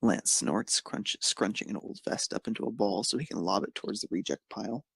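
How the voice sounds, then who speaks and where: A man's voice: fairly low pitch, brisk, gravelly, a bit bright, slightly rough, dry recording, studio quality. A man reading scripted fan fiction narration as the narrator of an amateur story.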